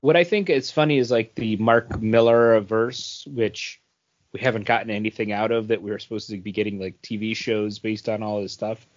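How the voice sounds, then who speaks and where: A man's voice: lowish voice, steady tone, normal speed. A man chatting casually and giving his opinions as a podcast co-host. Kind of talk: casual chat, book review. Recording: dull, lo-fi podcast audio.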